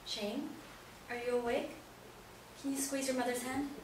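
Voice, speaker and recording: A woman's voice: sits high, steady tone, moderate pace, lightly acted. An actress in a play reading playing a loving, worried mother, tender and reassuring at her son's bedside. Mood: hopeful, loving. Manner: tender, reassuring, simple explanatory phrases. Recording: echoey room, distant mic, noisy.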